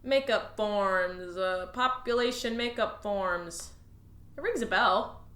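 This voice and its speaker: A woman's voice: medium pitch, takes it slowly, fairly bright tone. A woman playing a lazy, evasive new alien office worker who dodges blame in a comedic audio drama. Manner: evasive, sheepish, flippant. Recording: roomy echo.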